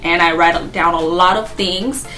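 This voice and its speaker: A woman's voice: lowish voice, steady tone, measured pace, pushed and bright, faint music behind, low-quality recording. Chatty young woman from Haiti. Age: 20s. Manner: chatty, rambling, laughs often. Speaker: vlogger. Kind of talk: casual get-to-know-me chat.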